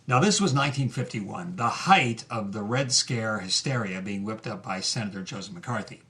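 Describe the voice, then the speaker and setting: An actor playing an earnest, scholarly podcast host, narrating a scripted documentary drama with measured, emphatic phrasing. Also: male voice, fairly low pitch, a bit bright, close to an everyday voice.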